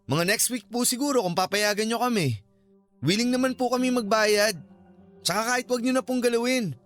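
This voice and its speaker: Eager, high-voiced young male vlogger. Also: a little fast, fairly bright tone, faint music behind. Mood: polite, eager. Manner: polite, persuasive. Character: eager young male ghost-hunting vlogger, polite schemer. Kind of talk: Filipino radio drama acting.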